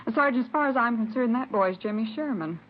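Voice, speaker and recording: A woman's voice: fairly high pitch, expressive, quick pace. A woman playing a talkative neighbor who is sure of herself, questioned by police in a 1950s radio drama. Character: chatty, certain middle-aged woman neighbor. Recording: dull vintage radio recording.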